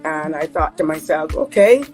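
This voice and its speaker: A woman's voice: deep voice, lively intonation, normal speed, a little mellow, soft background music. A woman with a Barbadian accent, a nonprofit co-founder, sharing a personal testimony in an earnest, reflective way with some hesitant fillers.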